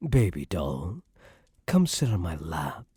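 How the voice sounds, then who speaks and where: A man playing a cocky young mafia heir who is a doting but menacing boyfriend, in an intimate ASMR roleplay with slow, smug tough-guy slang. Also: deep voice, very animated, dark tone, husky, no room sound, clean studio sound, heavily acted. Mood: smug, disdainful.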